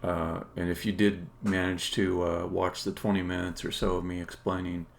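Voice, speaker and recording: Low, gravelly man thinking aloud. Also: flat delivery, normal speed. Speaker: game developer, live streamer. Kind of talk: casual live-coding commentary, explaining on a stream. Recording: clear background noise.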